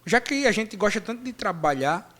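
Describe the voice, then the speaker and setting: A man hosting a casual podcast chat, lively and joking. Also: high voice. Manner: lively, joking, quick-talking. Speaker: podcast host.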